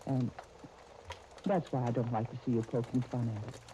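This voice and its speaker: An actress playing a gentle, earnest guardian of young twins in a 1930s radio soap opera, speaking tenderly. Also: female voice, a bass voice, fairly even intonation, normal speed. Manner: tender, earnest, uses endearments. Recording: muffled, noisy 1939 radio disc recording.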